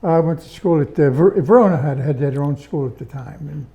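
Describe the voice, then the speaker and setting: Older man with a very dark, mellow voice. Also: a little high, fairly quick, highs cut off. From Pittsburgh area, USA. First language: Italian. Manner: plainspoken, homespun storyteller. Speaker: interview guest, veteran. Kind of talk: casual interview.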